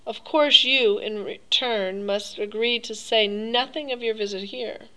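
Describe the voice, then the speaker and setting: A silky aristocratic Cossack general, put on by a woman reading the tale, courteous and cruel at once. He stretches his words out with lingering politeness, his tone riding a little higher than the narration around him. It sounds like a storybook reading rather than a full performance. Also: steady tone, a bit bright, rough recording. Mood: enthusiastic. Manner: silky, courteous, drawn-out phrasing. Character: suave, cruel aristocratic male Cossack hunter.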